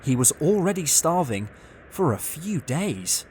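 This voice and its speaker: A storyteller narrating a scripted children's tale in an expressive, deliberate way. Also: medium pitch, slight background noise, low-quality recording.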